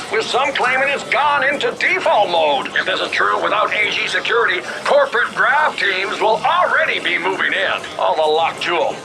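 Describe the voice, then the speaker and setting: High-pitched, showy sci-fi newscaster. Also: male voice. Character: slick, opportunistic sci-fi news broadcaster. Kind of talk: showy news broadcast narration.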